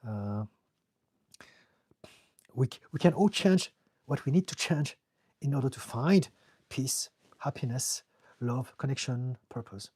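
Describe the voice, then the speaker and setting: Man, French accent, earnest and blunt, husky mellow tone, dry close recording. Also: mid-range voice, a little slow, long pauses, no room sound. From France. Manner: earnest, direct, repeats words when searching for them. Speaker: therapist answering live chat questions. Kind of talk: live-stream Q&A, casual advice.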